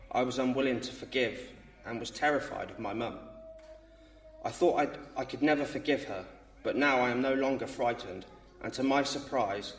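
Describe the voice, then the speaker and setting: A man's voice: mid-range voice, distant mic. A man in his thirties from England, nervously reading his baptism testimony aloud. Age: 30s. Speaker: baptism candidate. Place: church. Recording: roomy church sound from off mic.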